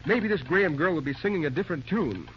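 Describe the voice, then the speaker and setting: An actor playing an earnest, proud young American railroad assistant in an old-time radio drama. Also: male voice, a little high, lively intonation, fast, faint music behind, close to an everyday voice. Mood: piqued, defensive. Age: young adult. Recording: muffled, noisy old radio recording.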